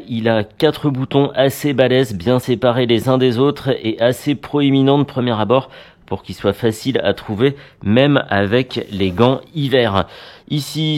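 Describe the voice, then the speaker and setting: A man presenting a product review in a conversational, matter-of-fact, unscripted way. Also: on the low side.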